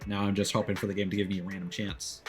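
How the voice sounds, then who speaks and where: Male game streamer, lowish voice, casual and conversational, thinking out loud over clear background music. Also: monotone, very fast. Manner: musing aloud, conversational. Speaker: live streamer playing a video game. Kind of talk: casual livestream game commentary. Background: music behind the voice.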